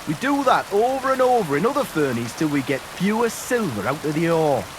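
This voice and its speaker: An actor playing a plain-spoken medieval smelter laborer with rough dialect talk, in video game dialogue. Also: male voice, a little high, very animated, a little fast, noisy background. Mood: explaining. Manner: dialect-heavy working-man talk, evasive. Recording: game audio with loud rain.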